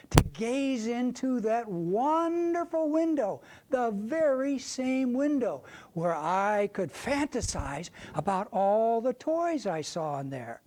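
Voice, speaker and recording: An older American woman presents a prepared talk in a fairly high voice. She speaks very slowly and deliberately, with theatrical, widely varied intonation, as a readers' theater member addressing an audience. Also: dark tone. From suburban Ohio, United States. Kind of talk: prepared stage talk, personal reminiscence.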